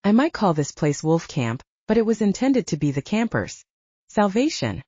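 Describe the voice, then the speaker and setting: Woman narrating fiction with lively, swooping intonation. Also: mid-range voice, normal speed, husky, dry recording, dull audio. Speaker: audiobook narrator. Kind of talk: scripted first-person fiction narration.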